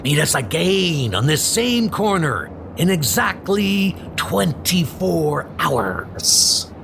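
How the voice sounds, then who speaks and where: A man's voice: fairly high pitch, big pitch swings, slow pace, creaky, background noise, full character voice. A man playing a menacing, cruel supervillain brute who gloats and commands in a comic-book dub. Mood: gloating.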